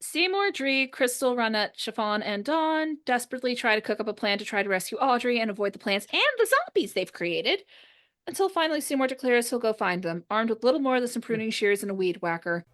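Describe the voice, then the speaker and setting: High-voiced woman narrating. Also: animated, brisk, a bit bright. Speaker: host and narrator. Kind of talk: reading a script's stage directions aloud among friends.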